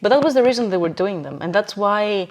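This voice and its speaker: A woman's voice: medium pitch, expressive, fast talker, darkish tone. A woman speaking as a podcast guest, explaining her views emphatically in a casual conversational way.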